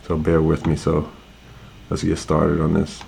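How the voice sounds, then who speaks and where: A man's voice: extremely low pitch, a little slow, vocal fry, slight background noise. A man hosting a reaction video in a loose, casual way, with a stuffed-up voice from allergies. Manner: relaxed, loose, with frequent fillers.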